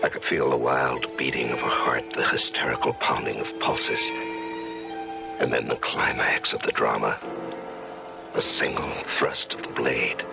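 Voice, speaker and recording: A man acting in an old-time radio drama as an outwardly genial, secretly murderous middle-aged husband, theatrical and deliberate in his speech. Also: rumbling low, fairly even intonation, gravelly, music in the background, full character voice, rough recording. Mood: gloating, confessional.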